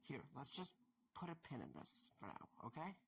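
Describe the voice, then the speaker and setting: An actor playing an awkward young security guard in an audio drama, speaking in a halting, hesitant way. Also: male voice, medium pitch, huge pitch swings, creaky, a little hiss or hum. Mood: earnest, gentle.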